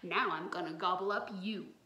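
A woman voicing a greedy, slithery male swamp monster from a storybook, loud and bullying in a folksy way. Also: on the low side, steady tone, normal speed, voice pushed down. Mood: greedy, bossy. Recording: roomy echo, some background noise.

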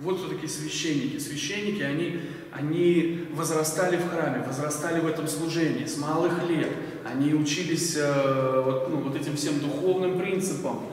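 A man's voice: on the high side, normal speed. A man in his forties preaching at a lectern in a church hall, conversational and full of fillers, asking the audience questions. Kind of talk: sermon. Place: bright church hall with large windows. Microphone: stand mic at a lectern, filmed from afar. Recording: echoey hall from far off.

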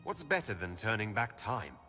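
A man voicing a male elf Inquisition leader, calm and direct, in game audio with hall reverb and score. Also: fairly low pitch, moderate pace, soft background music, exaggerated voice. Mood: firm.

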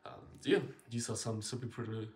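A man's voice: on the low side, brisk. A young man talking to camera in a small room, rambling and blunt, full of fillers and self-corrections. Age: young adult. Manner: candid and blunt, casual swearing. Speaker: marketing consultant. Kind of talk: casual talking-head video. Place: small indoor room with a window.

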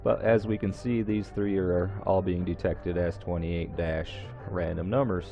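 A man with a very low, gravelly voice talks a viewer through a computer task one step at a time, with the odd filler and restart. His tone is dark and mellow. The voice-over sounds a little dull and lo-fi, with faint music underneath. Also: steady tone, measured pace. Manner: plain, step-by-step instructions with some fillers and restarts. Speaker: host of a how-to video series. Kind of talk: screen-recorded tech tutorial. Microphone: voice-over recorded over screen capture.

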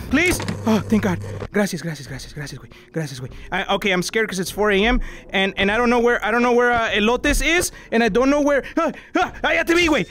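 Young man, very high voice, wildly swinging pitch, frantic horror-game yelling, noisy background. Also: animated, a little fast. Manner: panicky, yelling, switching between English and Spanish. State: losing his voice. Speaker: VR gaming YouTuber. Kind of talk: loud, frantic gameplay commentary. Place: indoor room, in front of a green screen.

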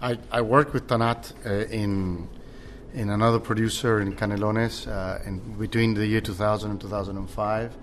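Chilean man, halting casual talk. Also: on the low side, steady tone, a little hiss or hum. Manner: halting, many restarts. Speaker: winery general manager. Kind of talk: casual conversation.

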